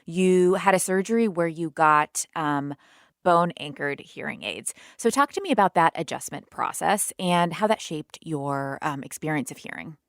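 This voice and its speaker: A woman hosts the program, narrating and guiding her guest with calm, measured questions. Her voice sits a little low. The sound is dry and close. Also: no room sound. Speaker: podcast host. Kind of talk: narration and interviewing.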